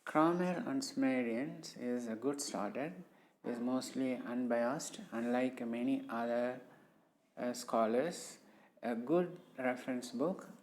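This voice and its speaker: A middle-aged man with an Indian English accent, a native Tamil speaker, presenting his research in a hesitant way, with many pauses and fillers. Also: mid-range voice, a little mellow, slight background noise, poor audio. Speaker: civil engineering professor presenting his research. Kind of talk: slow, hesitant screen-recorded talk.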